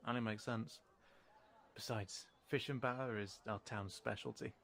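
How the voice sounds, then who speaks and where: Man playing a gravelly, guarded British cat townsman. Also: fairly low pitch, fairly even intonation, moderate pace, fairly bright tone. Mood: relaxed, friendly. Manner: informal, hesitant, guarded but friendly. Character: wary, helpful young male cat townsman guiding a human. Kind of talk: audio roleplay addressed to the listener.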